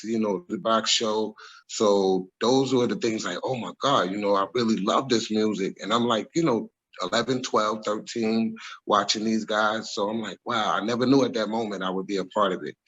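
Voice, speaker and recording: A man, speaking on a video call as an interview guest. His voice sits very low and sounds husky. He reflects on the past at length, pausing with ums and often correcting himself. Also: fairly bright tone, lo-fi sound. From New York, USA. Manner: rambling, reflective, frequent ums and self-corrections. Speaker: interview guest, former music manager. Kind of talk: casual storytelling interview. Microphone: video call webcam.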